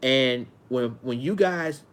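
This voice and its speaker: Earnest high-voiced man in his forties. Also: slow pace, fairly bright tone. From Arkansas, United States. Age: 40s. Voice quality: high-pitched voice. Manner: earnest, repetitive, restarting phrases. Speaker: vlogger. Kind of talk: casual monologue to viewers.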